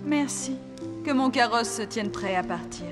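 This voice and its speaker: Defiant cartoon princess, young, high-pitched, reverberant hall, loud music. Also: female voice, lively intonation, unhurried. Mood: cool, firm. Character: proud, defiant young princess, the villain's daughter. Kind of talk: French cartoon fairy-tale dubbing.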